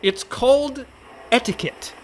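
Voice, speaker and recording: A man's voice: extremely high pitch, unhurried, a little hiss or hum. A man playing a prissy, indignant and reluctant young pirate in a comedic radio play. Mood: exasperated. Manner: fussy, exasperated.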